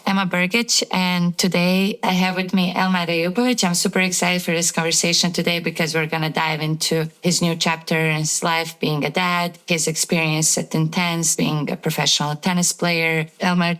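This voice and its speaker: Upbeat female host, low voice, chatty interviewer. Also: a bit bright, poor audio. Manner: upbeat, friendly, filler-heavy questions. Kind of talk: casual interview hosting.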